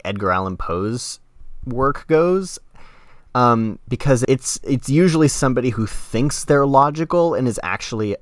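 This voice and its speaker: A man's voice: lowish voice, no room sound, clean studio sound. A man hosting a podcast in casual, conversational review style. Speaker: podcast host.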